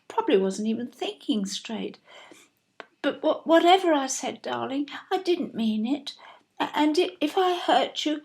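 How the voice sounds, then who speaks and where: Anxious, fragile English mother, swooping pitch. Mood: apologetic, pleading. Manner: hesitant, pleading, fussing. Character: anxious, tender, apologetic mother, emotionally fragile. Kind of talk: audio play acting.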